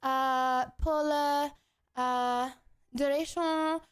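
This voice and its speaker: A woman's voice: high-pitched, steady tone, drawn-out words. A woman livestreamer playing a pompous, flamboyant French lady food snob with a put-on French accent, chatting theatrically to viewers. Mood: playful, smug. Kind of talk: playful in-character livestream chat.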